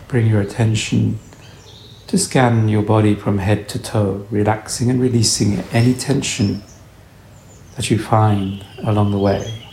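Slow, low-voiced man guiding meditation. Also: fairly even intonation. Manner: soothing, gentle instruction in short phrases. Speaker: meditation guide. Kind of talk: guided meditation narration. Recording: roomy echo, faint background noise.

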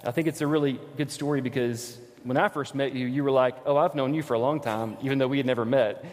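A man's voice: medium pitch, fairly even intonation, fast talker, darkish tone. A man speaking live to a congregation as a church host, introducing and interviewing a guest on stage. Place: church stage in a large room. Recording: echoey hall with long reverb.

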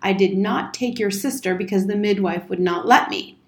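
A woman's voice: mid-range voice, normal speed, close to an everyday voice. A woman voicing a pompous, wordy old male soldier in a storybook reading. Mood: defensive, pleading. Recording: roomy, echoing indoor sound.

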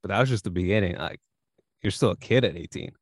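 A man's voice: low-pitched, fast, no echo, clean studio sound. A man in his late twenties co-hosting a podcast, chatting in an easygoing, joking way and laughing often. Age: 20s. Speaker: podcast co-host. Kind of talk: casual chat.

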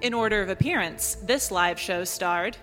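A woman's voice: mid-range voice, moderate pace, fairly bright tone, soft background music. A woman reading scripted closing credits as a performer at a live show. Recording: echoey live hall, reverberant.

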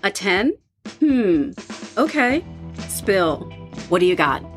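A woman's voice: medium pitch, big pitch swings, slow, soft background music. A woman playing an easygoing, curious young roommate with a casual, playful way of talking in an audio drama. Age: young adult. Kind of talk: horror audio drama dialogue.

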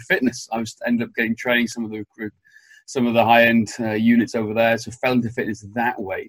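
A man's voice: on the low side, dry recording. A man talking as a podcast guest in a chatty, rambling way, often restarting his sentences, from a guest room in a farmhouse. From Wales. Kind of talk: casual conversational interview.

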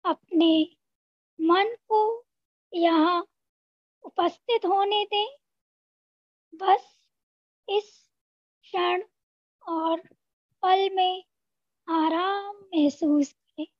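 A woman with an Indian accent narrating a scripted guided meditation, giving gentle instructions in short phrases with long pauses. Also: very high voice, fairly even intonation, no room sound, lo-fi sound.

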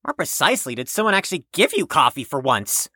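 A woman's voice: low voice, sing-song, quick pace, sharp tone, no echo, studio quality, exaggerated voice. A woman playing a prim, wordy, anxious patient who speaks formally and precisely in an audio drama. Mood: dryly critical.

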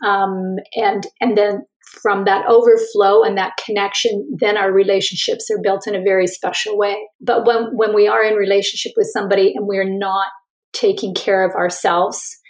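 A woman's voice: mid-range voice, level tone, moderate pace, rough recording. Woman coach chatting in an interview, thoughtful, kinda repeats herself. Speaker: author and coach, interview guest. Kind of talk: conversational interview.

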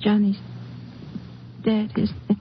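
An actress playing a shaken, grieving young small-town girl in a 1950s radio drama, halting and fragile, often stammering. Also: mid-range voice, completely flat delivery, close to an everyday voice. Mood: grieving, pleading. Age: young adult. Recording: muffled, noisy 1950s radio recording.

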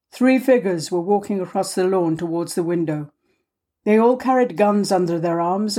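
A woman reads a literary story aloud at a medium pitch. Her delivery is measured and expressive, pausing for dramatic effect. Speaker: audiobook reader. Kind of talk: scripted story narration.

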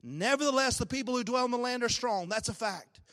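Man, pastor, sermon, raspy hoarse voice, high pitch, long reverb. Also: a little fast. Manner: swings between low talk and shouted, sung-out peaks.

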